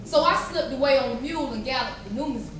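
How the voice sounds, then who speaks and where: Readers theater: actress as formerly enslaved woman, high-pitched, dramatic narrator of her own past, distant in heavy echo. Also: racing, natural voice. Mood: solemn recounting. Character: formerly enslaved woman Mary recounting emancipation. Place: large echoey chapel during a live performance. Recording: cavernous echo, distant mic, noisy.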